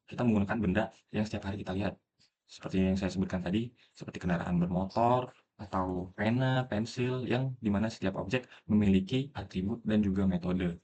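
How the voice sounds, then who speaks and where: Man, very low voice, relaxed coding tutor, filler-heavy, faint background hiss. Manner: relaxed, thinking aloud with many fillers. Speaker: programming tutor. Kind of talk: screen-recorded coding tutorial.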